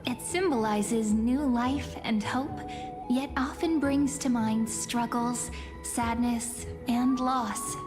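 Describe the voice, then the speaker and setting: A mysterious card-reading fortune teller in a fantasy game. She is calm, slow and fairly high, in long hall reverb. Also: music in the background. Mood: mysterious, measured. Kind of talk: scripted game dialogue.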